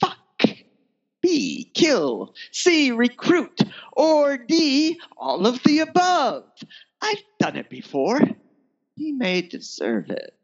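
A man's voice: very high-pitched, huge pitch swings, takes it slowly, vocal fry, dull audio, pitched above the performer's own voice, full character voice. A man voicing an amoral female spy posing as a countess, arch, sardonic and melodramatic, in a first-person space opera audiobook. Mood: gleefully scheming.

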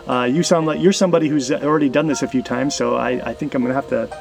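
A man hosting a podcast in a casual, conversational style, with halting phrasing that often repeats words. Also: medium pitch, fairly even intonation, creaky. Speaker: podcast host. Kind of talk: casual interview and podcast narration.

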